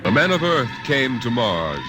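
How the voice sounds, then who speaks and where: A man's voice: medium pitch, very animated, unhurried, over loud music. A man giving a solemn, emphatic, dramatic storytelling narration.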